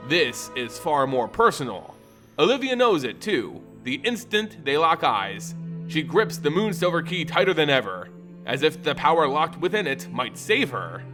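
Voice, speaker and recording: A man hosting a lore channel, reading a scripted story in a theatrical, expressive way. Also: on the high side, normal speed. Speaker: host and narrator of a lore channel. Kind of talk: dramatic scripted story narration. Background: music under the voice.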